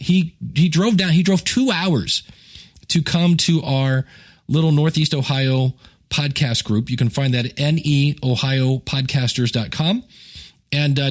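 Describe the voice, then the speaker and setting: A man hosting a podcast in a casual, chatty way, rambling into joking, self-deprecating asides. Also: on the high side. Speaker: podcast host and podcasting teacher. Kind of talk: casual solo podcast talk with plugs and announcements.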